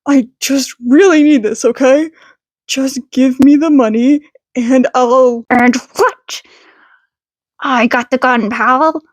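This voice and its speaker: A woman's voice: high-pitched, takes it slowly, no room sound. A woman voicing a pushy, desperate male street mugger in a story, talking in a casual, threatening way. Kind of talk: storybook character acting.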